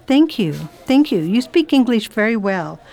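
A woman's voice: on the high side, big pitch swings, moderate pace, warm tone. A woman playing a genteel, inquisitive lady traveller who acts and narrates in a radio drama. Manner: polite, inquisitive, exclamatory. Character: genteel, curious well-off English lady traveller. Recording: faint background noise, ambience.

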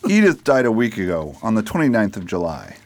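A man playing a calm, methodical doctor in an amateur radio drama. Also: on the low side, a little fast. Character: calm, methodical male doctor and poison expert.

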